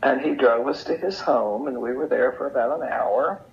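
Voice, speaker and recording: Older woman, creaky low-fi voice recording, folksy storytelling, unhurried reminiscence. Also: a little mellow. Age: older, over 70. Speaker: interview guest sharing memories. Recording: dull, lo-fi sound.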